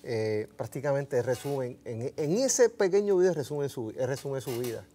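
A man's voice: mid-range voice, expressive, normal speed, dark tone. A middle-aged man with a Puerto Rican accent, co-hosting casual sports talk and often repeating his words. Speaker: sports show co-host. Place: TV studio at a desk.

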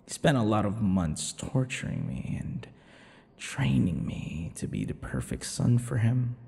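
A man voicing a possessive, centuries-old vampire in an intimate ASMR boyfriend roleplay, teasing and breathy, often dropping toward whispers. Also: lowish voice, very animated, unhurried, darkish tone, exaggerated voice. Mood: reminiscing. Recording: long reverberant echo.

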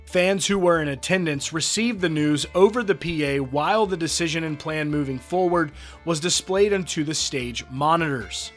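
Upbeat male news host, scripted voice-over, slightly high voice, soft music underneath. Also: moderate pace. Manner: upbeat, reads quotes aloud. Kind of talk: scripted entertainment news narration.

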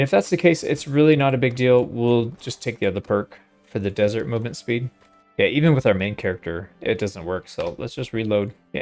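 A man's voice: mid-range voice, a little mellow. A man giving casual gameplay commentary, thinking aloud in a laid-back way as he hosts a playthrough. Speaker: host of a gaming playthrough.

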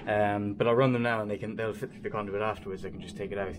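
A man with a lowish voice talks through his practical work in a casual vlog style. He pauses and thinks aloud as he goes. The recording comes from a handheld camera in a small boat cabin, with noticeable background noise. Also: little pitch movement. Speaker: boat restorer presenting a vlog. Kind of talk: casual explanatory vlog talk while working.

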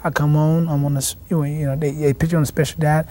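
Young man, mellow tone, halting repeats, TV studio interview, lapel mic. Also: a little high, little pitch movement. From South Carolina, raised in Washington, D.C. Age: young adult. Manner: halting, repeats and restarts words. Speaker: boxing champion guest. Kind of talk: casual TV interview answers. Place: TV studio set.

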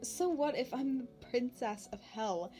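A woman playing a playful, anxious young demon princess in an ASMR roleplay, talking casually and haltingly with a giggly manner. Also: fairly high pitch, dark tone, breathy edge, faint music behind. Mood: nervous. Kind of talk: ASMR roleplay, unscripted-sounding casual chat.

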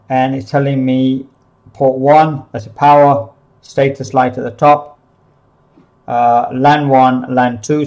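A man giving an unscripted product overview in a small office, explaining things in a halting, hesitant way. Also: mid-range voice, darkish tone. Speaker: product demonstrator for a CCTV supplier.

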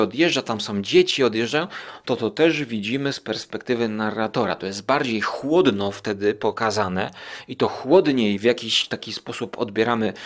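A man hosting a podcast, giving a casual, rambling review and thinking aloud with drawn-out hesitations. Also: mid-range voice, a bit bright. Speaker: podcast host. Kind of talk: casual monologue review of radio plays.

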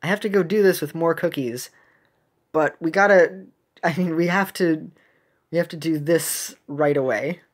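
A game streamer giving casual, halting commentary, rambling and half talking to themselves. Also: mid-range voice.

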